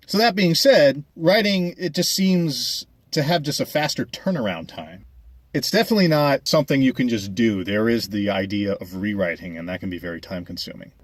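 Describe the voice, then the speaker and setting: A man's voice: mid-range voice, no room sound. A young adult man talking casually over a speedpaint video, chatty and rambling with many fillers. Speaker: animator and vlogger.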